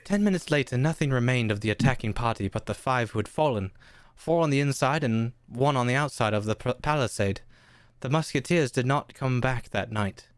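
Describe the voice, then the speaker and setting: A narrator reading a radio play, somewhat haltingly, often tacking "eh" onto sentences. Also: medium pitch, animated, smooth, no echo, clean studio sound.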